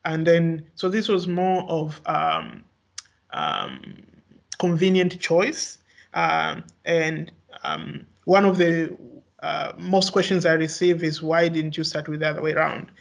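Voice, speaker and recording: A young man whose first language is Swahili gives an academic research talk, halting often with fillers and restarts. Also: fairly high pitch, lively intonation, creaky. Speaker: researcher presenting.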